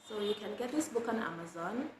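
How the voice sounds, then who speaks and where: A woman reading children's books aloud in an expressive storytelling style. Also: mid-range voice, fairly quick. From Jamaica. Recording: roomy echo with clear background noise.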